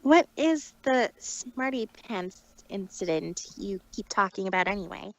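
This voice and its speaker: A woman's voice: medium pitch, lively intonation, measured pace, no echo, rough recording. A woman playing a proud but wounded female pony magician who speaks haughtily of herself in the third person, in a fan fiction audio drama. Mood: curious, unnerved. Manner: haughty, self-referential third-person speech.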